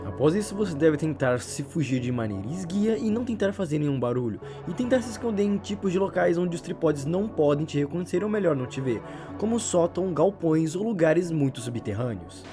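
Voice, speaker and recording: A man's voice: on the high side, very animated, a little fast, few pauses. A Brazilian man narrating explanatory horror-lore commentary in a wordy way, often hedging and correcting himself. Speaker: video narrator. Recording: voice over background music. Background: music.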